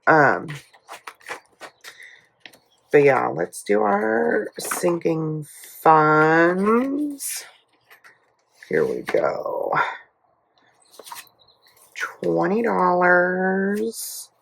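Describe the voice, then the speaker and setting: A hoarse woman telling a rambling family story in a casual budgeting vlog, full of fillers and asides. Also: on the low side, very animated, very slow, long pauses, slight background noise, low-quality recording. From United States. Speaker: budgeting YouTuber. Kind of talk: casual chatty vlog while cash stuffing.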